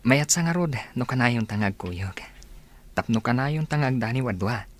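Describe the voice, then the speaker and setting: A man's voice: mid-range voice, lively intonation, a little slow. An actor playing a kind, sincere young male suitor with an Ilocano accent in a radio drama. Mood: sincere, romantic. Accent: Filipino, Ilocano.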